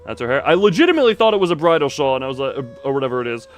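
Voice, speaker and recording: A man's voice: mid-range voice, sing-song, rapid-fire, soft background music. A man doing casual live video game commentary, rambling playfully to his viewers and repeating himself as he exclaims.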